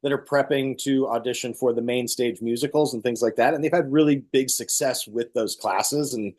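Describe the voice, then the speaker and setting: A man speaks as a guest in a relaxed interview, at a middling pitch. He explains his points conversationally, like a stage actor talking shop. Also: normal speed. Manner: explanatory, illustrating with acted-out quotes. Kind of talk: casual interview talk.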